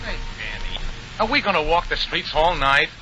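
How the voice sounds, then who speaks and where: An actor playing a crook's excitable, scheming lawyer, chatty and hedging, in 1940s radio acting style. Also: male voice, fairly high pitch, moderate pace, full character voice. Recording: dull, lightly noisy vintage radio broadcast.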